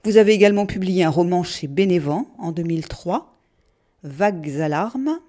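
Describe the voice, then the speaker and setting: A woman hosting a radio interview, reading a prepared introduction of her guest in a measured way. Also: lowish voice, animated, dark tone. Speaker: radio host.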